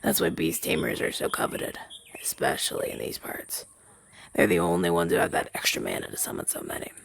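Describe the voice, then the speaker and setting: Male actor for soft, hesitant demon familiar, ASMR roleplay. Wistful and gentle, very gravelly and husky. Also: low-pitched, wildly swooping pitch, full character voice. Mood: encouraging. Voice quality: breathy, husky half-whisper. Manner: hesitant, soft-spoken, halting and conversational. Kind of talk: ASMR roleplay audio drama, softly spoken to listener.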